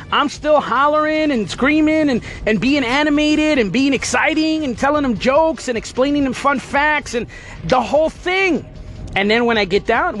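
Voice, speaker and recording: A man hosting a podcast, talking casually and emphatically in an energetic, motivational way. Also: very high voice. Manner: emphatic, energetic, pep-talk delivery. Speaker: podcast host.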